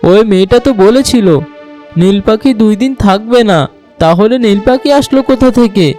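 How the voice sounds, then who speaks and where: A man voicing a servile, sly henchman to an evil queen in an animated fairy tale. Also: high-pitched, moderate pace, faint music behind, close to an everyday voice. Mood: obedient.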